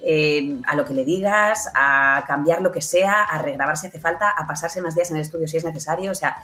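Low-pitched woman, chatty. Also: fairly quick, pushed and bright. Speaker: guest, audio fiction producer. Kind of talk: conversational interview answers.

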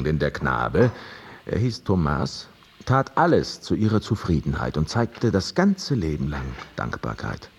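Tiefer, sehr lebhafter, neugieriger Erzähler. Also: male voice, very deep voice, slight background noise, heavily acted. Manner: animated, theatrical, ironic. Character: talkative, curious, teasing male host telling an old tale. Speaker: actor in a radio play. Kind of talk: German radio drama, dialogue and storytelling.

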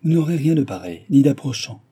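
A man reading an audiobook and voicing a stingy, gruff old male miser who blusters and scolds. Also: mid-range voice, very animated, fairly quick, voice pushed up. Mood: grumbling. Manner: blustering, shouting, scornful. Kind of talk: audiobook dialogue.

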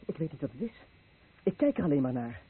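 A man's voice: a little high, sing-song, fast. A swooping, wonderstruck simple fisherman. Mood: awed. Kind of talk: radio play acting. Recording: phone-like, noisy old radio recording.

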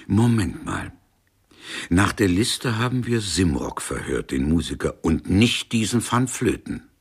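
Deep husky erudite detective. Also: male voice, sing-song, measured pace, full character voice. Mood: dry, pondering. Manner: pompous, learned, dry. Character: erudite, world-weary male police detective, wordy and ironic. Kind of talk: 1990s German radio play acting.

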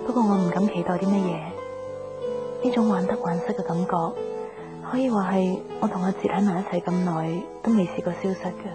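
A woman's voice: mid-range voice, fairly even intonation, a little fast, lightly acted. Woman acting a lovelorn Hong Kong woman over music. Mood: anxious, wistful. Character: lovelorn young woman, anxious and hesitant to hope. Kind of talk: radio drama inner monologue. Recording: radio drama under loud guitar music.

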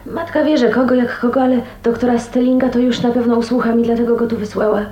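High-voiced, resolute young woman played by an actress. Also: steady tone, quick pace, dark tone, highs cut off, lightly acted. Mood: anxious. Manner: frank, articulate, firm. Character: outspoken, resolute unmarried woman of twenty-nine. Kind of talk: Polish radio drama acting. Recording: roomy echo, faint noise, radio drama.

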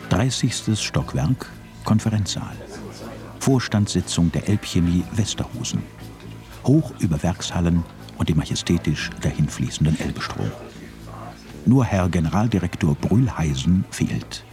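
Deep-voiced male radio narrator. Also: animated, a little slow, a little mellow, faint music behind. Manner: matter-of-fact, descriptive.

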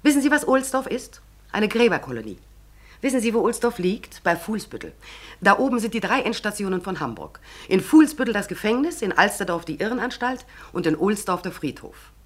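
A woman's voice: medium pitch, sing-song, a little fast. An actress playing a brusque, callous, gossipy woman tenant in a 1940s German radio drama, blunt, offhand and sardonic. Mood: mocking.

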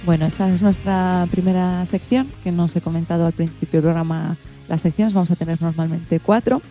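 A woman's voice: on the low side, fairly even intonation, brisk, mellow, faint music behind. A woman with an accent from Spain, presenting an informal radio show and explaining things to a family audience. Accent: Spanish, from Spain.

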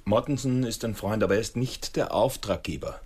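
An actor playing a cornered but wry male private detective from Vienna in a radio crime drama, with a husky voice. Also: on the low side, fairly quick, a little mellow. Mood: questioning, uneasy.

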